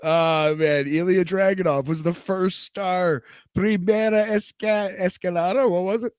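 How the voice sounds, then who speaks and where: A man's voice: sits high, steady tone, measured pace, smooth, no room sound, studio quality. A man co-hosting a wrestling podcast in casual chat. He laughs easily, stammers and repeats words. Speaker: podcast co-host.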